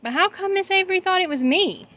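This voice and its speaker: A woman as an earnest, high-voiced schoolgirl. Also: lively intonation, fast talker, bright, forceful tone, full character voice. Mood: puzzled. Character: earnest, sporty third-grade schoolgirl protesting and questioning.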